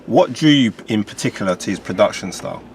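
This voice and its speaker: A man hosting an interview, asking measured questions and giving short acknowledgements. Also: on the low side, animated, a little hiss or hum. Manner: brief backchannels and measured questions. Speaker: podcast host.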